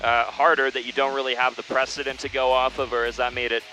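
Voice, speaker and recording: A man's voice: medium pitch, little pitch movement, fast talker, bright, forceful tone, faint music behind, rough recording. A man hosting a casual, playful interview, speaking on a headset in a small plane. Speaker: interview host. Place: small plane cabin in flight.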